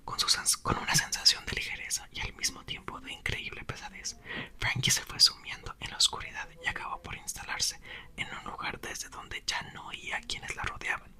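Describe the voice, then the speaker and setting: A man softly whispering a novel aloud in an ASMR reading, close to the mic. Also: brisk. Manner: soft whisper, occasional self-corrections. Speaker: ASMR reader.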